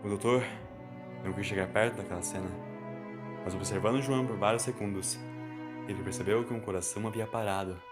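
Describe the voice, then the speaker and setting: A Brazilian-accented man tells a story as its narrator. His voice sits fairly low and the reading is scripted and dramatic. Music is clearly audible behind him. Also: fairly even intonation, a little fast, long pauses.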